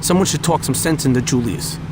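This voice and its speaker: An actor playing a wary, skeptical young gang member who talks casually in street slang and keeps asking questions, in video game cutscenes. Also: male voice, mid-range voice, brisk, heavy background noise, natural voice.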